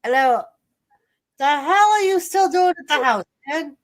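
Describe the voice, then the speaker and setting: A woman's voice: high voice, lively intonation, measured pace. A woman with a stuffy nose hosting a live stream and greeting viewers in chat. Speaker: stream host.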